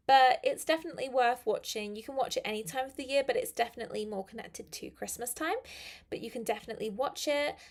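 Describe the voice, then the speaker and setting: A young woman leads a live lesson, her voice a touch croaky and pitched a little high. She talks in a friendly, chatty way, with fillers, and slows down to stress the key phrases. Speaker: English teacher. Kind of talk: live-stream English lesson.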